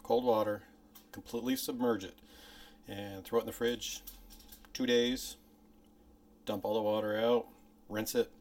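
A man hosting his own home cooking channel, explaining each step in a relaxed, chatty way while filming on a handheld phone in his kitchen. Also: a little slow, long pauses. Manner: louder and higher outdoors by the smoker. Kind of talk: casual cooking tutorial. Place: home kitchen, then outdoors at a backyard smoker. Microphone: phone camera held by the speaker.

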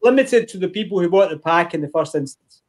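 A man's voice: sits high, lively intonation, fast talker. A man hosting an online whisky tasting over a video call, talking in a chatty, easygoing way. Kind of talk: casual chat on a video call.

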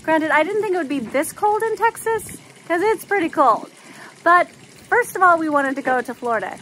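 A woman's voice: extremely high pitch, lively intonation, fairly bright tone, slight background noise, low-quality recording. A woman in her thirties from Canada, chatting upbeat to a phone camera held at arm's length. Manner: chatty and upbeat, quick asides. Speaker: vlogger. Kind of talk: casual vlog chat to camera.

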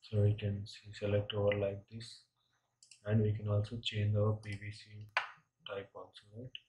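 A man instructing step by step in an unscripted software tutorial, often tagging his phrases with a short check-in question. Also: fairly low pitch, monotone, moderate pace, background noise.

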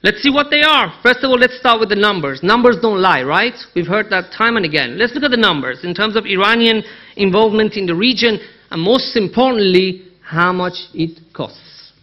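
High-pitched, bright-voiced man with a Persian accent. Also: animated, moderate pace, dull audio. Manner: emphatic, rhetorical questions, repeats key phrases for effect. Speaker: conference speaker, Iran analyst. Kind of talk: conference presentation, persuasive analysis.

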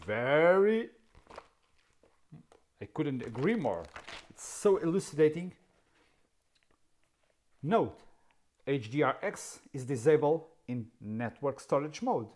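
A man in his fifties with a Portuguese accent, a native Portuguese speaker, explaining camera gear in a dry, sarcastic way, seated in a dark studio. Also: on the high side, sing-song, takes it slowly, pauses often. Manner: wry, sarcastic, asks himself questions. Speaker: camera video host. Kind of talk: casual tech explainer.

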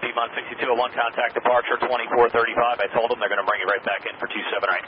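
Male tower controller, brisk and relaxed, rapid delivery over a tinny radio with static. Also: mid-range voice. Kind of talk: air traffic radio calls.

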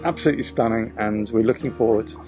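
A man in his forties, a sailing vlogger, chatting casually outdoors in a relaxed, rambling way. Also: on the low side, fast talker, music in the background. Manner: relaxed, rambling, thinks aloud with restarts. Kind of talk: casual chat. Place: outdoors on a boat and a rocky shore.

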